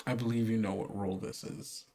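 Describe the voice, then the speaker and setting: A man's voice: fairly low pitch, level tone, moderate pace, mellow. A man acting as game master, improvising narration in a conversational way full of filler words.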